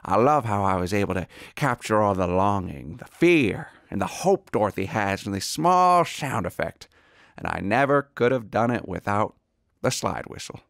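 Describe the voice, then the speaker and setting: A man's voice: on the low side, animated, normal speed, creaky, a bit bright, dry recording, studio quality. Man playing pompous, swooping old-Hollywood sound artist. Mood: proud, earnest. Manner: pompous, earnest, savouring words. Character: genteel, pompous male veteran Hollywood sound-effects artist, self-serious. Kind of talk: comedy sketch acting, mock interview.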